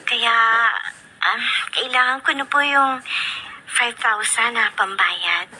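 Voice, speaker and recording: A hopeful young niece in a radio drama, polite and eager to please. Her voice is fairly high, and the recording is clearly noisy. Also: slow, pushed and bright, heavily acted. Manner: polite, eager, hesitant when asking. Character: hopeful, respectful young niece about to enter university. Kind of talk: Filipino radio drama acting.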